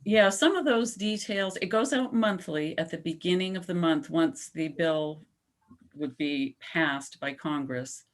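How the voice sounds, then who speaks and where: A woman presenting a climate proposal at a town meeting and answering questions in a measured, explanatory way. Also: low voice. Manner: measured, explanatory, slows down on key points. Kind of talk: public comment at a town meeting.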